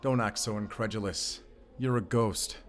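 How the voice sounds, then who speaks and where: A man's voice: on the low side, normal speed, soft background music, close to an everyday voice. A man playing a guarded, haunted and defiant werewolf hunter in a cinematic audio roleplay, speaking in a terse, solemn way. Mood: grim. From Germany.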